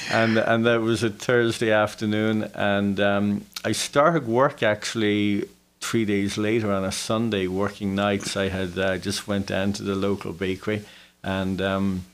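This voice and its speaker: A man in his forties with a Dublin Irish accent, recounting his own story casually as an interview guest, with hesitant pauses. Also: lowish voice. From Dublin, Ireland. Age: 40s. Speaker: interview guest, business owner.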